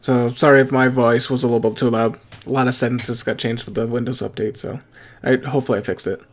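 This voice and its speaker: A man's voice: medium pitch, level tone, fast talker. A young man hosting an anime reaction video, chatting casually from a small room at home with plenty of fillers and restarts. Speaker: anime reaction channel host.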